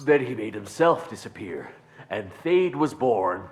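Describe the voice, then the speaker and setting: Shifty, swooping little crook. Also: male voice, on the low side, very animated, unhurried, noisy background. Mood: confiding. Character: shifty, cowardly male criminal underling, blustering, easily cowed. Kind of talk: video game acting.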